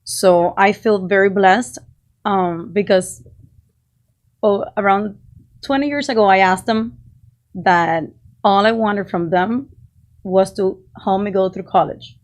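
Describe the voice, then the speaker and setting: A woman's voice: mid-range voice, takes it slowly, long pauses. Earnest woman guest in her late 30s. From Cuba, raised in Costa Rica. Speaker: podcast guest, architect and builder. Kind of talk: casual interview talk.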